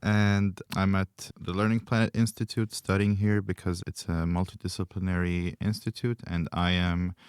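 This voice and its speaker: A man's voice: low-pitched, creaky, clear, resonant tone, dry recording, studio quality. A young man from Syria who has lived in Canada, speaking casually as a student podcast guest. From Syria, later living in Canada. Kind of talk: casual round-table discussion.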